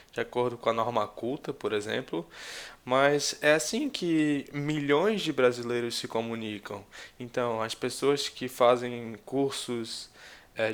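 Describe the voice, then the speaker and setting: A Brazilian man in his twenties with a Brazilian Portuguese accent, talking casually to his viewers about language in a relaxed, rambling way with many fillers and restarts. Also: mid-range voice. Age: 20s. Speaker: language vlogger. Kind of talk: casual talk to camera.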